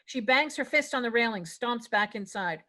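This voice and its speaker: Woman, higher pitch, scripted narration, table read, reading stage directions aloud. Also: lively intonation, normal speed, bright, forceful tone.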